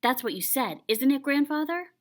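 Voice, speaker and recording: A woman's voice: sits high, moderate pace, pitched above the performer's own voice. A woman voicing an eager, insistent young boy who pleads for stories in a children's picture book. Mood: excited, proud. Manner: pleading, excited questioning.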